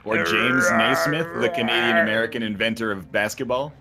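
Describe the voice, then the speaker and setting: A man's voice: mid-range voice, lively intonation, fairly quick, a loud crowd. A man chatting casually and jokingly with friends while playing a video game, tossing in dry riffs and mock-formal asides.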